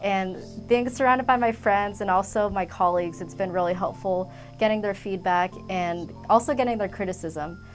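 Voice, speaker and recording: A young woman talks casually, as in an interview. Her voice sits at an average pitch, with faint music underneath. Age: young adult. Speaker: student media member.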